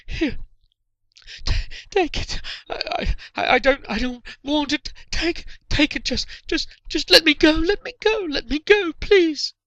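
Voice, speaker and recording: A man voicing a frightened, guilt-ridden medieval boy in a storybook reading, stammering and pleading in a halting way. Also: very high-pitched, fairly bright tone, dry recording, voice pushed up, full character voice. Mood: panicked, pleading.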